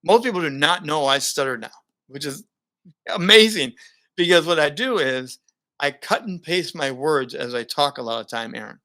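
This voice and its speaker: A man's voice: on the high side, moderate pace. A middle-aged man, a broadcaster and speaker, telling stories in a casual interview and laughing often. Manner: animated storyteller, laughs often. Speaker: guest, broadcaster and keynote speaker.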